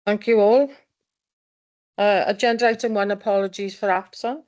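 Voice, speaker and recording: A woman chairing a formal council panel, moving briskly through the agenda items. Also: mid-range voice, steady tone, darkish tone, lo-fi sound. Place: council meeting room.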